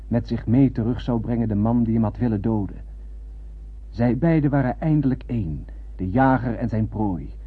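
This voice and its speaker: An actor playing a haunted lone survivor of a space voyage, narrating solemnly and poetically in a radio drama. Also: male voice, lowish voice, breathy edge. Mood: awed. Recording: noisy, thin, phone-like old radio recording.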